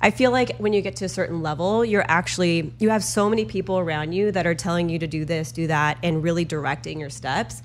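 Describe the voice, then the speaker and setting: A woman's voice: on the low side, fast, hardly any pauses, a little hiss or hum. A woman hosting an interview, talking conversationally with her guest. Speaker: interview host.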